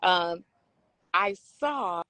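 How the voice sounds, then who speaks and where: Raspy-voiced woman hosting a talk show. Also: on the low side, animated, extremely slow, sharp tone, no echo. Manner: affirming, frequent agreement sounds. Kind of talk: casual discussion.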